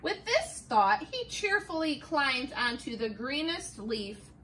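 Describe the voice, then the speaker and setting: A middle-aged woman teacher reading a picture book aloud in a classroom, slow and expressive, with the camera a few feet away. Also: high-pitched, a little hiss or hum, poor audio. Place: classroom, indoors.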